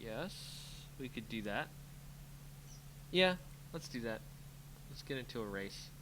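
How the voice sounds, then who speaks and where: Man, medium pitch with very lively swings, casual gamer commentary, muttering to himself, noisy recording. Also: slow pace. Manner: muttering to himself, self-talking, playful sing-song filler. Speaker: host of a card game channel, playing. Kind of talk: casual live game commentary, thinking aloud.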